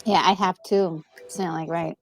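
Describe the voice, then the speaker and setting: A woman co-hosting a gaming channel, chatting casually in a gushing, expressive way as she reacts. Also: on the low side, normal speed, faint music behind. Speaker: gaming co-host.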